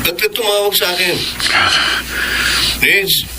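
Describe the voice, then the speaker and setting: A man's voice: sits high, takes it slowly, background noise. An actor playing a married woman's secret boyfriend on the phone in a radio drama. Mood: puzzled, coaxing. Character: wife's secret male lover on the phone. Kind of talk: Filipino radio drama acting.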